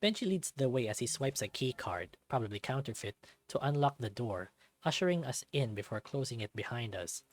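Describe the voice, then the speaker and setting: A man reading a visual novel aloud as expressive first-person scripted narration. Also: mid-range voice, fairly quick.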